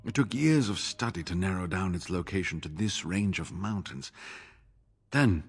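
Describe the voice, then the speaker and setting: An actor playing an older, injured male scholar in a video game, weary and sarcastic, talking in a measured way. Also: low voice, slightly rough. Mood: thoughtful. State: injured, exhausted. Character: older male scholar, wounded captive, wry. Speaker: game character.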